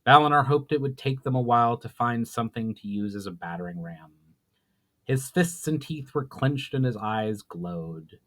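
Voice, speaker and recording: A man reads fantasy fiction aloud. His voice has a medium pitch, and the delivery is dramatic storybook narration, like an author presenting his own book. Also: animated, moderate pace, a bit bright.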